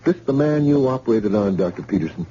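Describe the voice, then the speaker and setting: An actor playing a level, businesslike police detective sergeant in an old-time radio drama. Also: male voice, on the low side, expressive, fast, dark tone, lightly acted. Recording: hissy, muffled old radio recording.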